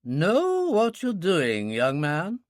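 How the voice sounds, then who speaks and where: A man reading an audiobook, voicing a spry elderly woman, a former stage star, with a brisk, teasing, sharp-tongued manner. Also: on the high side, wildly swooping pitch, dry recording, studio quality, voice pushed up, exaggerated voice.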